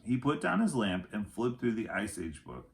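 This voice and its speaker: A man reading a children's chapter book aloud as an expressive storyteller, with deliberate, dramatic phrasing. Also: fairly low pitch.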